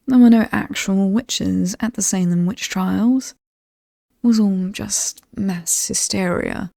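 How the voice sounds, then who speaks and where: Woman as mysterious British demon hostess for horror ASMR: mellow, very close and dry, breathy whispers, playful menace. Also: medium pitch, no room sound. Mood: amused, dismissive. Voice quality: breathy, often dropping to a whisper. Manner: slow, teasing, coolly amused and aristocratic. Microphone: close, dry microphone.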